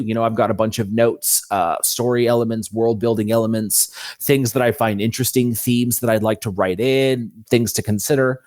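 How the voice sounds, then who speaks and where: A man's voice: mid-range voice, darkish tone, no echo, clean studio sound. A man speaking conversationally as an interview guest, an author explaining how he works. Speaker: fantasy author, podcast guest.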